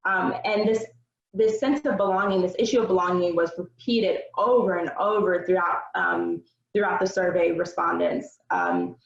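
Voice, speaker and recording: A young adult woman giving an earnest, measured seminar presentation with frequent filler words. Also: lowish voice, animated, vocal fry. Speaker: research presenter. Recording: roomy, dull lo-fi sound.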